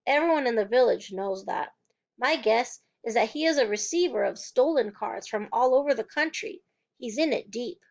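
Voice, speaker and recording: A woman voicing a gentle, kind young teacher who speaks softly and calmly. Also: on the high side, moderate pace, highs cut off. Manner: soft, calm and reassuring.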